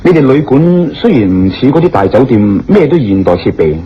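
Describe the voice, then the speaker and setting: A man's voice: mid-range voice, sing-song, fairly quick, slightly rough. A man with a Hong Kong Cantonese accent playing a genial, courteous holiday skier in a radio drama. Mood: genial, chatty. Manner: courteous, expansive, conversational. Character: genial, chatty gentleman on a skiing holiday. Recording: dull radio recording with faint music.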